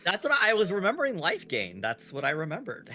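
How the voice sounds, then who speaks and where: A man's voice: high-pitched, wildly swooping pitch, fast talker, sharp tone. A man from Southern California chatting casually and laughing while playing a card game. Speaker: player. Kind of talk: casual gameplay chat.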